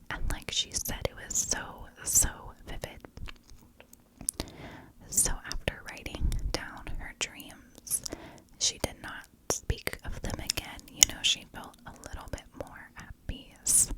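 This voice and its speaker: A woman narrating a story as an ASMR host, whispering in short, deliberate phrases. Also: poor audio.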